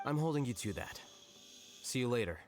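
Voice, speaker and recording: A man voicing a composed, authoritative investigator in an anime game, measured and matter-of-fact. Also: mid-range voice, expressive, close to an everyday voice. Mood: commanding. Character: composed, authoritative male investigator, stern but considerate. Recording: game music behind the voice.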